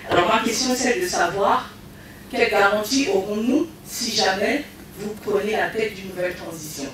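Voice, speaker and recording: A woman's voice: mid-range voice, moderate pace, fairly bright tone. A woman from the audience putting a direct, challenging question at a public meeting. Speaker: audience member asking a question. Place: large meeting room. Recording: echoey hall from far off, noisy.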